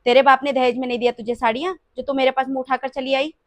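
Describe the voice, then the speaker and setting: A woman voicing a domineering older mother-in-law in a family drama skit, cutting and loud when she scolds. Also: medium pitch, fairly even intonation, normal speed, natural voice. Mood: scolding.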